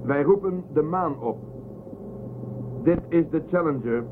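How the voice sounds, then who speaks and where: A man's voice: fairly high pitch, a little slow, lightly acted. A man playing an authoritative but courteous starship commander in a science fiction radio drama, giving clipped orders. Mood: commanding. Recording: thin phone-like, noisy sound.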